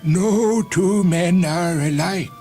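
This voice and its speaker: A man's voice: sits high, takes it slowly, warm tone. A man playing a genial, pedantic old Indian professor in a radio story drama, courteous, long-winded and hesitant. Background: music.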